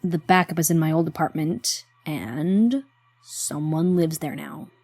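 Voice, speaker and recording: Wry woman sidekick, lowish voice. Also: measured pace, darkish tone, dry recording. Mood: reluctant, wry. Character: wry, reluctant but loyal woman helping an investigation. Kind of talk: psychological horror audio drama acting.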